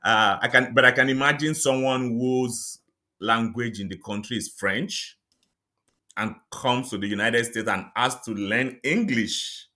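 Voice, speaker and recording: A middle-aged Nigerian man whose first language is Yoruba, speaking as an interview guest in a measured, reflective way with light laughs. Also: mid-range voice, pushed and bright. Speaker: guest, psychiatrist and author. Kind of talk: interview conversation.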